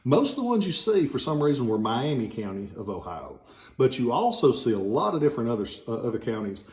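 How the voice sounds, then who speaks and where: A man's voice: medium pitch, big pitch swings, a little fast, a little mellow. A man with a slight Southern American accent, a relic dealer casually showing old ballots, rambling with folksy jokes and asides. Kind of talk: casual informational video. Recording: echoey room.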